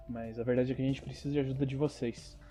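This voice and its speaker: A man playing a calm, earnest secret-organization agent who speaks in a measured, formal, reassuring way, in an audio role-playing drama. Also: medium pitch, faint music behind, natural voice.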